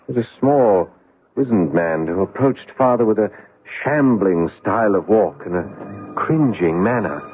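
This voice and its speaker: An actor playing a polite gentleman's son in a radio drama, earnest, hesitant and anxious. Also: fairly low pitch, animated, a little slow, breathy edge, soft background music. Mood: recalling uneasily. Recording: muffled vintage radio broadcast, dry sound.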